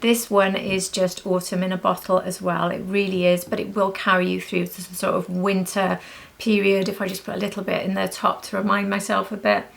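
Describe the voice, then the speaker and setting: Gushing woman with a creaky voice. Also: mid-range voice, level tone, brisk. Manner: gushing, emphatic repetition. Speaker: beauty vlogger. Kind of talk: casual chatty product review.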